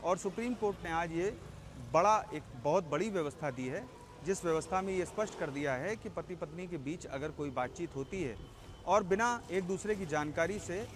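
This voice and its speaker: A male senior correspondent reports live in a somewhat high voice. He explains deliberately, restating key phrases, with faint vehicle-like noise around him.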